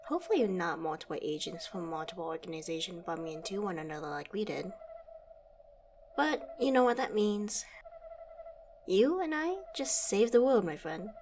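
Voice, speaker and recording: A woman's voice: medium pitch, expressive, moderate pace, long pauses, noisy background, natural voice. A woman playing a suave, witty secret agent in an audio roleplay, wry and polite, talking as if to a trusted partner. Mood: warm, reflective. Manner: wry, polite, teasing tag questions. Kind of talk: ASMR audio roleplay, scripted.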